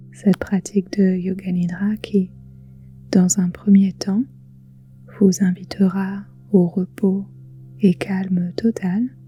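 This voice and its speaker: Female yoga nidra guide: hushed, breathy, very dark mellow tone, very slow delivery, soft music behind. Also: medium pitch. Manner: soothing, unhurried, gentle pauses. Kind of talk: guided relaxation meditation. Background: soft music with singing bowls.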